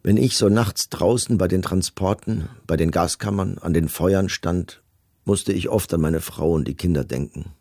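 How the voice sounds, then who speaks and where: An actor playing a middle-aged camp commandant in a documentary radio play, reading testimony in a measured, matter-of-fact, bureaucratic manner. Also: male voice, deep voice, gravelly, darkish tone, dry recording, studio quality. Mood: sombre, confessional.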